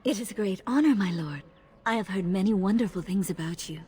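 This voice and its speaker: A woman's voice: mid-range voice, brisk, dark tone, lightly acted. An actress playing a shy, deferential young lady-in-waiting in a fantasy game. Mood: shy, flustered. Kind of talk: scripted game voice acting. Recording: music under the voice.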